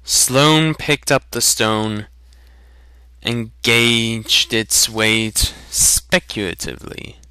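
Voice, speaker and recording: A man reads a storybook aloud in a dramatic amateur style. His voice is very bright and forceful, and he goes slowly and deliberately. The sound is dry and close. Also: mid-range voice, clear, resonant tone, no room sound. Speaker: storybook narrator. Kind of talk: dramatic amateur audiobook reading.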